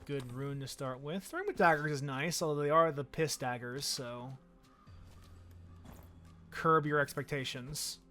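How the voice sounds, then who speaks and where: A male streamer talks through his game run in a relaxed, wry way, reasoning aloud as he goes. His voice is bright and forceful and pitched a little high. Quiet game music runs underneath. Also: unhurried, pauses often. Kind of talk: casual gameplay commentary.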